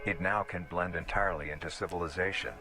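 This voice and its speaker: A man narrates an analog horror documentary in a deep voice. He is formal, measured and clinical, and music plays clearly behind him. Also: steady tone. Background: background music.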